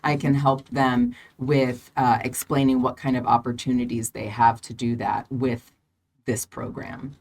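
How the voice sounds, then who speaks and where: A woman from the United States, a visiting English teacher, answering podcast questions in a friendly, halting way with many fillers and frequent laughs. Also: a bass voice, level tone, a little mellow.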